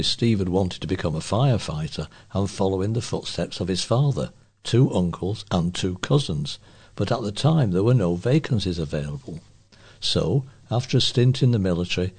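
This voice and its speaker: A man reads local news from a script as a volunteer newsreader. His voice is husky and sits a little low. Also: darkish tone.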